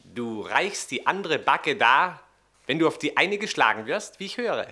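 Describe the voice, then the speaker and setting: A young, arrogant Roman gentleman in a radio play. The voice is high for a man, sharp and very animated. He is theatrical and haughty, a bully with a coward's heart. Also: moderate pace. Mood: sneering, haughty. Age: young adult. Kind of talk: classic German radio drama acting.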